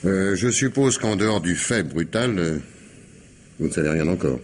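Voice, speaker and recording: An actor playing an insistent police commissioner in a radio mystery, gruffly courteous, muttering hesitations before abrupt questions. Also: male voice, deep voice, animated, normal speed, a little hiss or hum. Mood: courteous, hesitant. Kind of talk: French radio mystery play acting.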